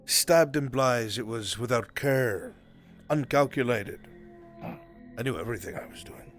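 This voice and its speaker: A man playing a composed, teasing, calculating fantasy killer and lover in improvised online roleplay, with measured, precise wording. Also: medium pitch, soft background music, natural voice.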